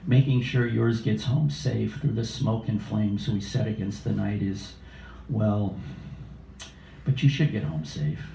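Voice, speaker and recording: A man in his sixties reading poetry live at an open mic in a measured, deliberate way, with casual asides. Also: fairly low pitch, vocal fry, warm tone. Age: 60s. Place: small indoor cafe open mic. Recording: roomy, distant cafe live stream with noise.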